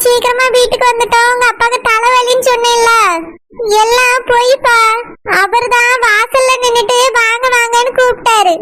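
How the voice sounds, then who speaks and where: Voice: extremely high pitch, little pitch movement, normal speed, sharp tone. A performer voicing a worried middle-aged wife and mother who pleads anxiously with her husband. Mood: pleading.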